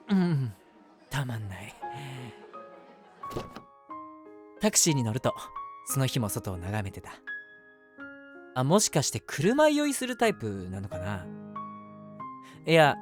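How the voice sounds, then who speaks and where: A man's voice: medium pitch, sing-song, moderate pace, long pauses, music in the background, pitched below the performer's own voice, natural voice. A man voicing an eager, easily flustered young man in love, chatty and self-deprecating, in a romantic audio drama. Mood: pensive, tender. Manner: chatty, self-deprecating, earnest, hesitant asides. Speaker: voice actor.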